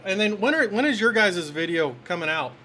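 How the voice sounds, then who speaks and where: A man's voice: high-pitched, animated, a little fast, bright, forceful tone. Man in his fifties, noisy recording. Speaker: host of a YouTube channel. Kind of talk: casual unscripted talk, intro and phone interview.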